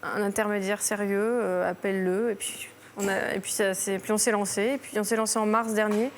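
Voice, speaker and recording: A woman in her thirties, a talk show guest, telling a personal story in halting sentences that restart and trail off. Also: mid-range voice, fairly even intonation, slight background noise. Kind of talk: emotional personal testimony. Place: television studio.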